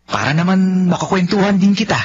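An actor playing a kind, shy young office worker with a Filipino accent in a radio drama. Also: male voice, high-pitched, brisk, natural voice. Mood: eager, shy. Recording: lo-fi audio with faint noise.

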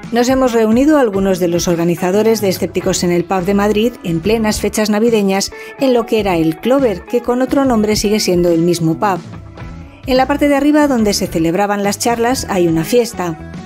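Female voice-over, measured documentary read over music. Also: medium pitch, mellow. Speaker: narrator. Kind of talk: scripted documentary narration.

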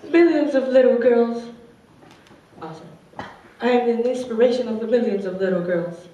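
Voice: medium pitch, moderate pace, warm tone, lo-fi sound, natural voice. A performer playing a vain, overconfident fashion doll woman, boastful and gushing, in a comic stage monologue. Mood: smug. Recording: echoey room, distant mic, faint noise.

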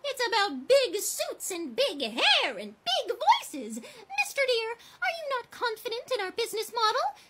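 A childlike cartoon puppet playing the big boss, with an extremely high, bouncy voice. She is bossy, excitable and grandly theatrical. The track is slightly noisy. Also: a little slow, exaggerated voice. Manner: mock-pompous, theatrical. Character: bossy, excitable little girl puppet playing company president.

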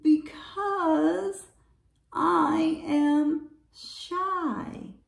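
A woman's voice: very high voice, wildly swooping pitch, slow, pitched above the performer's own voice, full character voice. A woman voicing a shy, bashful owl in a children's storybook, with timid, hooting delivery. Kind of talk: children's storybook read-aloud. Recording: roomy, echoing space.

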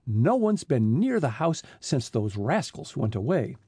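A man's voice: mid-range voice, very animated, measured pace, gravelly, dark tone, husky, no room sound, clean studio sound, full character voice. A man voicing a kindly middle-aged farmer's wife in a storybook reading, homely in manner. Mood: relieved. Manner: homely, relieved.

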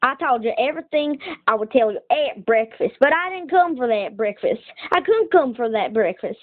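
Woman voicing a high-pitched folksy cartoon cowgirl pony. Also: animated, moderate pace. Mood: apologetic. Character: proud, plain-spoken young female cowgirl pony. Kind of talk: cartoon fandub acting. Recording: tinny screen playback filmed by camera.

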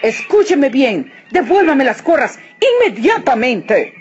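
A woman's voice: high voice, sing-song, slow pace, highs cut off, soft background music, voice pushed up, full character voice. A woman voicing an exasperated male cap peddler in a children's storybook, calling out emphatically. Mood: indignant. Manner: emphatic, indignant shouting.

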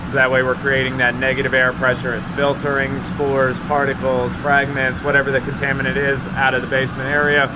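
A young man in his twenties, an operations manager, walking through a basement job on a handheld phone, explaining with many fillers. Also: mid-range voice. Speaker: operations manager of a remediation company. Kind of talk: casual work walkthrough vlog. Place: basement under renovation, indoors. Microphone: phone held at arm's length. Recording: loud machine noise in basement. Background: loud air scrubber machine hum.

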